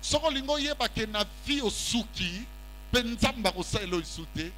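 Lively high-pitched preacher. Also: male voice, normal speed, soft background music. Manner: call-and-response preaching, mixing French and Lingala; walks about the stage while preaching. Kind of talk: sermon. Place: large church hall with congregation. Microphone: handheld mic.

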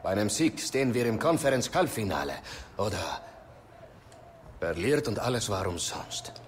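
A rousing low-voiced football coach. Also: male voice, big pitch swings, moderate pace, slight background noise. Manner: rousing pep talk. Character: gruff male football coach rallying his team. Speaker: coach in a game cutscene.

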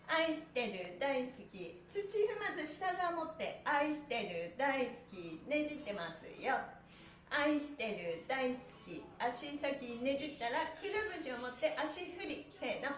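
A woman with a very high voice leads a stretch class in an echoey room. She is cheerful and encouraging, giving playful instructions and rhythmic chants as she coaches. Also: very animated, a little slow. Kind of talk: live-streamed exercise lesson with rhythmic chanted counting.

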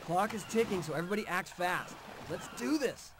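An upbeat American pro skater from a video game. He talks fast in a slightly high, casual, encouraging voice, with noisy game audio behind him. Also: animated. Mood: rallying. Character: upbeat take-charge male pro skateboarder. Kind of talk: video game cutscene acting.

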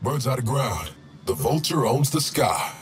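An actor playing a stern, steady tactical operations leader, giving clipped, authoritative orders over a radio in a video game. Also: male voice, mid-range voice, unhurried. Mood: firm, controlled. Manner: clipped, authoritative military delivery. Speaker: mission commander. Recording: noisy military radio with vehicle ambience.

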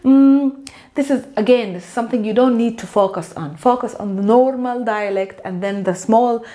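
A woman in her thirties, a native Arabic speaker, teaching a lively whiteboard lesson. She repeats words slowly and stresses them. She speaks into a lapel mic in a bright indoor room. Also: on the high side.

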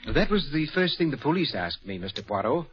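A scheming young manservant who speaks curtly and bluntly. His voice sits in a middle pitch. The sound is dull and lo-fi, like an old radio broadcast. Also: very animated, fairly quick. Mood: polite. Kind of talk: 1940s radio acting.

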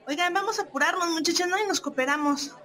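A woman's voice: extremely high pitch, fast, bright, forceful tone, some chatter behind. An actress playing an outspoken, slangy young Mexican woman in a radio soap, given to exclaiming. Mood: impatient. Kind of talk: radio soap opera acting.

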